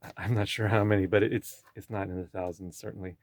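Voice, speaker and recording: A young man with Chinese as his first language, hosting a casual conversation and talking in halting phrases with many restarts and fillers. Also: fairly low pitch, fairly even intonation, darkish tone, no room sound, clean studio sound. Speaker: podcast host. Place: indoor room with a field recorder. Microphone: field recorder.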